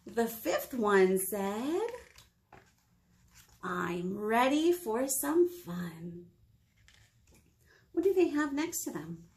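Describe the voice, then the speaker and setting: An American woman in her thirties leading a children's storytime, reading aloud and chatting to young listeners in an encouraging, expressive manner. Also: a little high, drawn-out words, pauses often. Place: small indoor meeting room.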